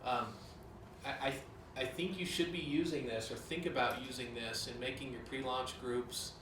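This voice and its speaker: Man in a relaxed corporate briefing, filler-heavy; distant, roomy recording. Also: medium pitch, moderate pace. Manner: casual, many "um" and "you know" fillers. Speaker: company director. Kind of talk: corporate training talk. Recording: roomy, from off-mic, with clear noise.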